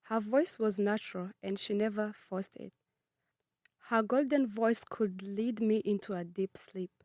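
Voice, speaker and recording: A nineteen-year-old woman from Congo who grew up in Kenya, reading her personal narrative in a measured, earnest way. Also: mid-range voice, mellow, no room sound, studio quality. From Congo, raised in Kenya. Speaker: student narrator.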